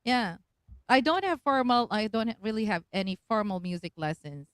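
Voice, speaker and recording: A woman's voice: medium pitch, animated, clean tone, dry recording, studio quality. A woman host, chatty and encouraging in a casual conversation. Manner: chatty, encouraging, quick to laugh along. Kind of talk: casual chat.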